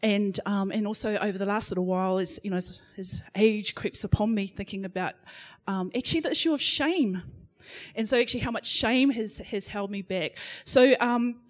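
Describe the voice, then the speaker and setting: A woman's voice: mid-range voice, moderate pace. A middle-aged woman speaks on a live panel in front of an audience. She is candid and self-mocking. She talks in loose spoken sentences full of "um" and restarts. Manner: frank, self-deprecating, many fillers and restarts.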